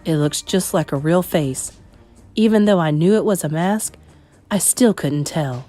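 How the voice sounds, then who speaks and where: Woman voicing an older, lower-voiced grandmother in a storybook, tender yet firm. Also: unhurried, mellow, faint music behind, close to an everyday voice. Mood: grave. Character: loving, fierce elderly grandmother.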